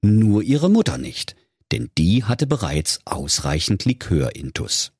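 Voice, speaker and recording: A man's voice: lowish voice, very animated, measured pace, a little mellow, slightly rough, dry recording, studio quality. A man telling a scripted comic fairy tale in a mock-solemn storybook manner.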